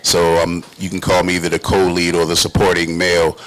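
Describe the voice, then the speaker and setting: A man's voice: deep voice, monotone, brisk, low-quality recording. A man who hosts and acts, talking casually in an emphatic, slangy way on a talk show. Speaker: talk show host and stage actor.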